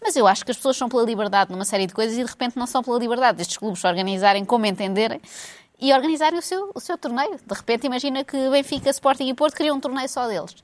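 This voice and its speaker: A woman's voice: fairly high pitch, racing. A woman with a European Portuguese accent, co-hosting a casual chat and ranting sarcastically about football and money. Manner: sarcastic, animated rant.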